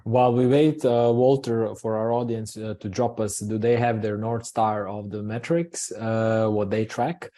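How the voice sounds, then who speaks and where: A man from Croatia hosting a business webinar from the marketing side, speaking haltingly with many fillers and restarts. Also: lowish voice, little pitch movement, dark tone.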